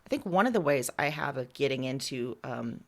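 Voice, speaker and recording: A woman with a low voice speaks as an author being interviewed. She talks casually and conversationally, piecing her thoughts together in short phrases as she explains herself. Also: animated, brisk.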